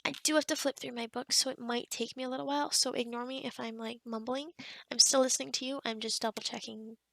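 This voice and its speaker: A woman's voice: a little high, fairly even intonation, quick pace, gravelly, fairly bright tone, dry recording. A woman playing a kind, scatterbrained forest witch in an ASMR roleplay, rambling and muttering to herself with whispery asides. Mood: friendly, helpful.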